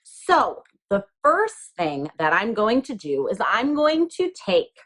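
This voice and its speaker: A woman teacher walks small children through a hands-on craft. Her delivery is very slow and patient, with a lively, swooping melody. She keeps each instruction simple and spells it out step by step. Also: fairly high pitch.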